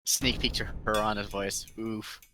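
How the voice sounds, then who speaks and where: A man's voice: fairly low pitch, flat delivery, unhurried, pushed and bright, a little hiss or hum. A man chatting casually while gaming, with a dry, offhand manner. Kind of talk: casual chat during online game play.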